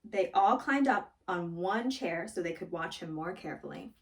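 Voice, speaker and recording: A woman reading a children's story aloud in an expressive, dramatic storytelling manner. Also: lowish voice, normal speed, recorded from a distance. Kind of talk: scripted storybook read-aloud.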